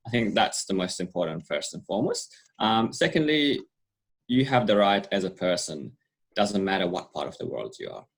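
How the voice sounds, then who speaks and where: A man's voice: low-pitched, sing-song, normal speed, gravelly, husky. A man from Afghanistan, a youth programs coordinator, laying out his points one by one in a panel discussion. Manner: methodical, lays out points in order.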